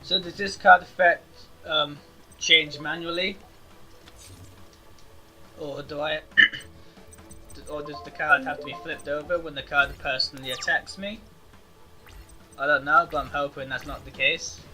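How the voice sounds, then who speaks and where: A man's voice: fairly even intonation, unhurried, pauses often, bright, forceful tone, soft background music. A man hosting a gaming channel, chatting casually to viewers and thinking aloud as he plays a card game. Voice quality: self-described high-pitched voice. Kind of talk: casual let's-play commentary.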